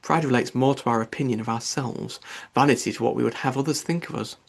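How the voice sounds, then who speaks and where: A man's voice: mid-range voice, fast talker, creaky, breathy edge. A man voicing a bookish, self-important young woman in an audiobook, lecturing in a pompous manner. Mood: pedantic. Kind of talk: audiobook character dialogue.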